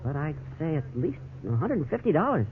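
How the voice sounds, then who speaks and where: Gee-whiz american kid, old radio drama, sorry and polite, highish voice that jumps around, thin hissy sound. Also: male voice, big pitch swings, a little fast. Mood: sheepish. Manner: earnest, deferential, gee-whiz. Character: earnest, apologetic teenage boy, polite and guilty. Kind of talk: 1950s radio drama acting. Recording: tinny, hissy 1950s radio recording.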